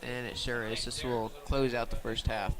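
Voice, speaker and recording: Male sports commentator, level and unexcitable pitch, calling a live football game on radio from the field. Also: flat delivery, normal speed, over music, poor audio. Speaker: play-by-play commentator. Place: outdoors at a high school football field.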